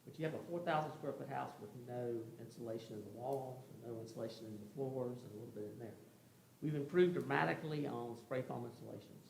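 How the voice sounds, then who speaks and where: A man's voice: mid-range voice, fast talker, gravelly, darkish tone. Echoey man explaining folksily at a hearing. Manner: conversational, rambling, folksy explaining. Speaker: contractor presenting a case to a commission. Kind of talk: informal explanatory presentation at a public hearing. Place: indoor meeting room. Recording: echoey room with faint background noise.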